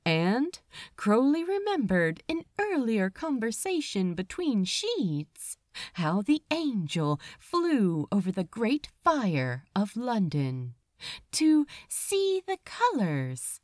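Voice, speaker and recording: A woman narrating a story from a script, with a dramatic, measured delivery and deliberate pauses. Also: mid-range voice, darkish tone, dry recording, studio quality. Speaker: audiobook reader of fan fiction.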